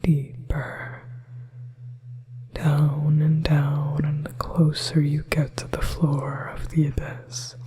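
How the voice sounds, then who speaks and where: A woman performs a yandere demoness in a hypnotic ASMR roleplay. Her breathy whisper is low and mellow, drawn out slowly. She coaxes with possessive, manipulative sweetness. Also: monotone, exaggerated voice. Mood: hypnotic, coaxing. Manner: slow, hushed, soothing, coercive coaxing.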